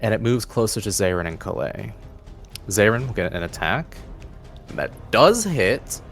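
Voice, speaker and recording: A man's voice: on the low side, very animated, normal speed, creaky. A man narrating a tabletop game as game master. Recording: faint music bed under voice. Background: faint music.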